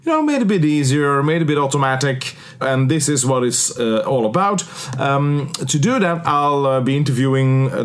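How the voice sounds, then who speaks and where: A man hosting a podcast interview, relaxed and full of filler words. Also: medium pitch. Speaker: podcast host. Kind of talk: casual interview.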